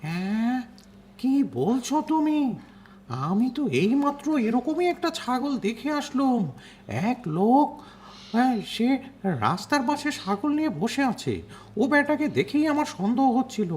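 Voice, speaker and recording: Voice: sits high, expressive, unhurried, a little mellow, slight background noise. A performer playing a concerned, suspicious cartoon passer-by uncle, inquisitive and exclaiming. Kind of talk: cartoon voice acting.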